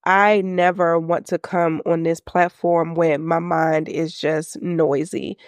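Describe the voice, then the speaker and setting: A woman in her thirties with a slightly low voice, stuffed up and nasal from a cold. She hosts a faith-based business podcast in an earnest, reflective, unhurried way. Her voice is recorded close and dry. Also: level tone, no echo. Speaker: podcast host.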